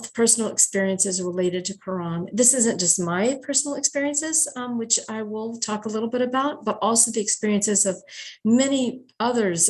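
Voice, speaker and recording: Woman calmly listing points in a casual talk. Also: medium pitch, rough recording. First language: English. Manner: conversational, many fillers, enumerating points. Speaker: speaker explaining Quran teachings. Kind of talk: informal talk on religion.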